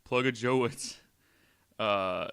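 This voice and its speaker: A man's voice: on the low side, takes it slowly, gravelly, a bit bright, clear, resonant tone. A young man co-hosting a podcast, reading a spooky article aloud with jokey asides. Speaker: guest co-host.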